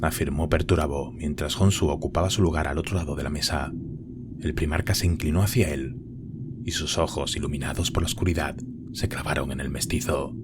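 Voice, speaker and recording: A man's voice: extremely low pitch, fairly even intonation, brisk, background noise. A man narrating a scripted war story in a dramatic audio drama style.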